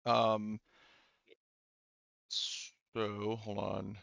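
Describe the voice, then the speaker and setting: A man running a tabletop role-playing game as game master, casual and halting as he thinks aloud. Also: fairly low pitch, monotone, gravelly, a bit bright, clear, resonant tone, no room sound. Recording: dull, lo-fi sound.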